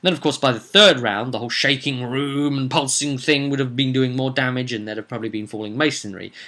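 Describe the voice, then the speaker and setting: A man, a game master, casually recounting a tabletop roleplay session in an animated, conversational way. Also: medium pitch, brisk. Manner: animated storyteller, conversational asides and tag questions.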